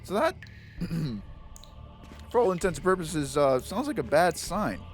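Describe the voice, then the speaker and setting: Guy doing a let's play, slangy n jokey, highish lively voice, bit of music behind. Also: a little slow. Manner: slangy, joking. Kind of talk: casual gameplay commentary. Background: game music.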